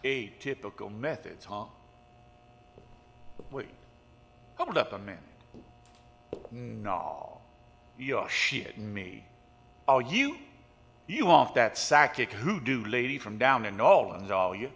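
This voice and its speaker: An actor playing a sarcastic, foul-mouthed small-town Louisiana sheriff in an audio horror drama, gruff and dismissive. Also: male voice, medium pitch, big pitch swings, slow pace, long pauses, fairly bright tone, exaggerated voice. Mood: suspicious, incredulous. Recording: very reverberant, with noise behind.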